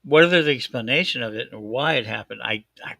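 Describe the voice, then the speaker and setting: A man with a thick Chicago accent hosting a casual chat show, talking in an excitable, rambling way and correcting himself often. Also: medium pitch, fairly quick, gravelly, dry recording. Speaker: host. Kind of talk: casual live-stream chat.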